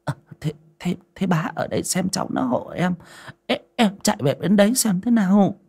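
Performer doing a high-pitched fretful old village woman. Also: sing-song, moderate pace, vocal fry, full character voice. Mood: flustered. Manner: fretful, stammering, folksy rural speech. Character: anxious elderly village wife, fretful but bossy.

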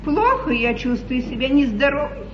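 High-voiced, plaintive, imperious queen. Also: big pitch swings, moderate pace, reverberant. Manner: grand, declamatory, plaintive. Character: Queen Anne, fretful yet imperious youngish monarch. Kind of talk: theatrical mid-century stage comedy acting. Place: large theatre stage, echoing. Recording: muffled, hissy 1950s theatre broadcast, distant.

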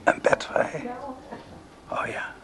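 An actor playing a frail, bedridden old father in a radio play, breathy and halting, weary and gently resigned. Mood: confused, weak. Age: older. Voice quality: breathy, whispery, creaky.

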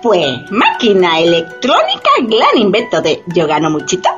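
A woman's voice: mid-range voice, wildly swooping pitch, normal speed. A woman voicing a pushy, theatrical Japanese saleswoman in a satirical radio play. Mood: eager, salesy. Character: pushy Japanese saleswoman hawking robot factory machines. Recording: dull lo-fi radio drama, faint music.

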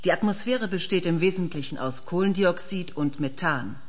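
An actress playing an earnest planetary scientist in a radio play, precise and measured, with a slightly husky voice. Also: female voice, fairly low pitch. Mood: factual. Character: earnest female planetary scientist quietly enchanted by her planet. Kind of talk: German science fiction radio play acting.

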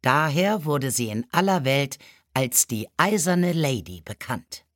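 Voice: medium pitch, very animated, a little slow, a bit bright, dry recording, clean studio sound. A narrator reading scripted biographical stories in a storybook style. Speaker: audiobook narrator.

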